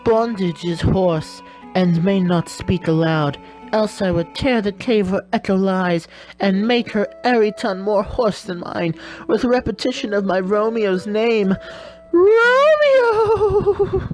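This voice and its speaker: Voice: lively intonation, slow pace, soft background music, poor audio. A performer voicing a lovestruck, melodramatic young woman in a comic Shakespeare dub, gushing theatrically. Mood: urgent, pleading. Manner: theatrical, gushing, swooping into shrill calls.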